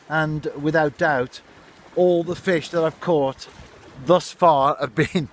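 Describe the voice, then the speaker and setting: A middle-aged man talks casually into a phone held at arm's length on coastal rocks. His voice sits a little high for a man, and he chats at an easy pace with little asides to the viewer. A faint outdoor hiss runs under his voice. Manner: chatty and unhurried, with asides to the viewer. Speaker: fishing vlogger. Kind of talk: casual outdoor narration to camera. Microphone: phone camera at arm's length.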